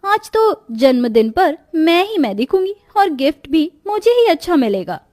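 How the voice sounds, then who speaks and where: A woman's voice: very high-pitched, very animated, normal speed, a little mellow, dry recording. A woman playing a vain, spiteful young sister in a cartoon moral story, with a snooty, taunting manner. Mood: jealous, spiteful. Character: vain, haughty, spiteful young pretty sister and daughter-in-law.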